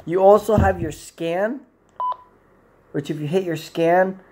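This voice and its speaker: Young guy doing an informal gear review at home, voice a bit on the high side, kinda rambly. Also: slow pace, background noise. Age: 20s. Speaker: product reviewer. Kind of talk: casual product review to camera. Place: small indoor room at home.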